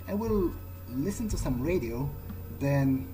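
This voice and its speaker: A man role-playing through a video game, thinking aloud in character in a low-key, hesitant way. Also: animated, slow pace, warm tone, low-quality recording. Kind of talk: in-character musing during gameplay. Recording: music behind the voice.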